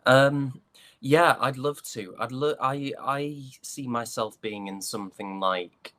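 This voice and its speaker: A man's voice: medium pitch, slow, a bit bright, no echo. A man with a British accent chatting casually as an interview guest, hesitant and jokingly self-deprecating. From United Kingdom.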